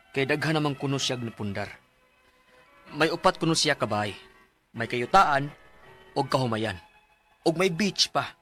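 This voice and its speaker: A man's voice: mid-range voice, fairly bright tone. An actor playing an earnest, lovestruck male student, speaking expressively in a radio drama over music. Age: young adult. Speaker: letter narrator and lead character. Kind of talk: radio drama acting and narration. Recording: music under the voice.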